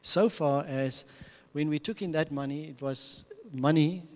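A man's voice: a little high, a little slow, darkish tone. An Afrikaans-accented man talks earnestly through a slide presentation for a church audience. He often restarts and repeats his words, chuckling between thoughts. His voice rings with a long reverb from a large hall. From South Africa. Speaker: mission speaker.

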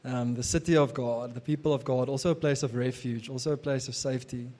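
A man's voice: mid-range voice, steady tone, fast, mellow. Afrikaans-native man preaching with fillers. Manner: casual, hesitant with many fillers and restarts. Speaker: pastor. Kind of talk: sermon.

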